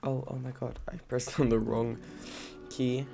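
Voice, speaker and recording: A man's voice: mid-range voice, level tone, a little slow, gravelly, dark tone, faint music behind. A young man with a blocked, stuffy nose from a cold, giving rambling, giggly gameplay commentary. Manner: rambling, giggly, many fillers. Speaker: let's-play gamer.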